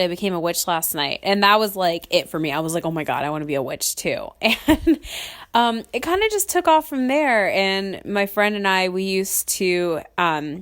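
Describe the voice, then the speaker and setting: Woman, young adult, podcast host, has a cold, sniffly, slightly low voice, rambling casual chat, frequent laughs. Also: a bit bright. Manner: chatty and rambling, laughs often, many ums.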